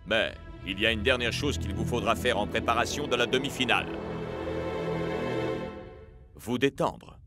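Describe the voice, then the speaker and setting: An actor voicing a formal, commanding team coach in a French cartoon dub. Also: male voice, mid-range voice, moderate pace, pauses often, lightly acted. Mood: firm. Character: organized adult male team coach, firm. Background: background music.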